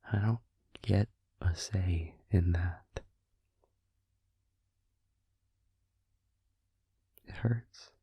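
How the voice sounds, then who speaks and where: A man playing a gentle, supportive vampire boyfriend in an intimate ASMR roleplay, in a breathy, soothing whisper with pauses. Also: sits low, dry recording, lo-fi sound, heavily acted. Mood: confiding, pained. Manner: soft, soothing, hesitant pauses.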